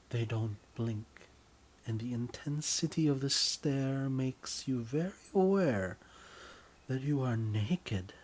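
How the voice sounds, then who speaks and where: A man narrating a scripted story in the second person, deliberate and often dropping softer at the ends of phrases. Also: mid-range voice, slight background noise. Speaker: writer and narrator.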